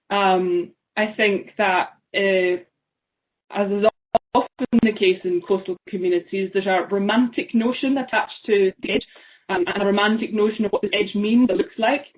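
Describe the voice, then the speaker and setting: Young Scottish woman on a tinny video call. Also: medium pitch. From Isle of Lewis, Scotland. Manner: frequent ums, thoughtful. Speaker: seminar speaker. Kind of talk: online seminar presentation. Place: small room at home. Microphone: laptop webcam on a video call.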